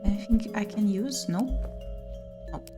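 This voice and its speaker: A woman's voice: mid-range voice, unhurried. A woman doing let's play commentary, reading visual novel text aloud expressively with muttered reactions. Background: game music.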